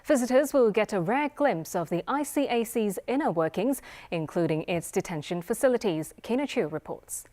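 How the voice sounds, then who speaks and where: A woman's voice: medium pitch, lively intonation, normal speed, hardly any pauses, warm tone. A woman anchoring a TV newscast with scripted reading in a studio. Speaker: news anchor. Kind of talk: scripted newsreading.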